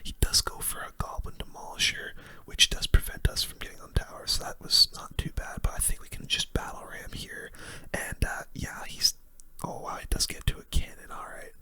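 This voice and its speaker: A young man whispering relaxed, informal ASMR commentary over a game, close to the microphone. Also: normal speed. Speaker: gaming video creator.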